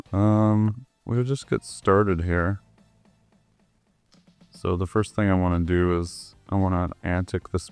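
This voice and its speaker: A hoarse man, still getting over the flu, talks slowly in a very low, smooth voice. He walks through his animation work in a relaxed way, with plenty of ums. Faint music plays behind him. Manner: relaxed, with many ums and uhs. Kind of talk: screen-recorded tutorial walkthrough.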